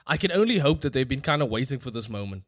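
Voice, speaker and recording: A man's voice: medium pitch, very animated, quick pace. A man, co-hosting a casual football podcast in a laid-back, slangy, sweary way. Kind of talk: casual sports chat.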